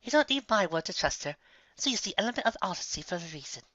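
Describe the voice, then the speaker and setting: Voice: medium pitch, big pitch swings, fast talker, sharp tone, no echo, lo-fi sound, voice pushed up. A narrator voicing a refined, dramatic female pony fashionista in a story reading. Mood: coaxing, reassuring.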